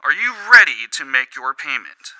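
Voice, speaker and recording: Man acting a sharp, forceful, smug debt collector. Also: medium pitch, big pitch swings, moderate pace, dry recording, pitched above the performer's own voice, poor audio. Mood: smug, menacing. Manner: formal scripted phone patter, savoring each syllable. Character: smug male debt collector for the devil, officious. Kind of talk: dramatic audiobook character reading.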